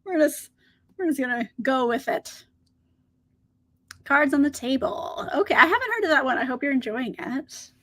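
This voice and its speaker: Woman with a high, slightly scratchy voice, chatty livestream host answering her viewers. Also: expressive, moderate pace, pauses often, fairly bright tone. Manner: chatty, upbeat, laughs often. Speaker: book channel host. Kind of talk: casual livestream chat, reading out viewer comments.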